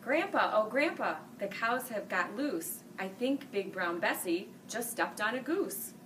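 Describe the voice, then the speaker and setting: A woman in her forties reading a children's picture book aloud, dropping low and soft for the older characters. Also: expressive, measured pace. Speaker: school staff reading buddy. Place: small room, seated before a green-screen farm backdrop. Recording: distant mic with faint hiss.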